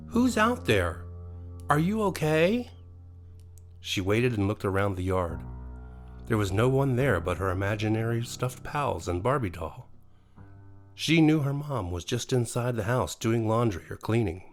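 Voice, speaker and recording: A man narrating a horror bedtime story in a dramatic storytelling style. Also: fairly low pitch. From USA. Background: music.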